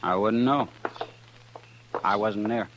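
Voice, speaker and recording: Young western outlaw prisoner, american, kinda low voice, bitter n curt, old noisy radio show. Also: male voice, slow, dull audio. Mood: defiant. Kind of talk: 1950s radio western acting. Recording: noisy vintage radio recording.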